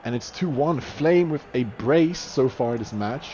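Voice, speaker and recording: A man's voice: mid-range voice, animated, measured pace, warm tone, dull audio, a little hiss or hum. A young man commentating a live online match in a chatty way, voice jumping up on goals and saves. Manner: chatty, rises sharply on goals and saves, fillers and restarts.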